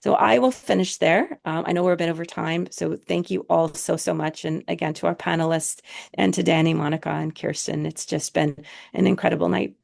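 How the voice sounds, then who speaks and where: A woman presenting slides on a video call, hoarse from a cold, hesitant and full of fillers and restarts. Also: lowish voice, steady tone, a little fast, darkish tone. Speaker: webinar presenter.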